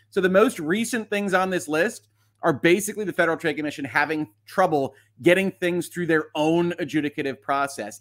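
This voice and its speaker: A man's voice: a little high, a bit bright. A man hosting a livestream, explaining documents in an informal, conversational way with emphatic stresses and wry asides. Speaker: host of a legal commentary livestream. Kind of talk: informal explanatory commentary, reading documents aloud.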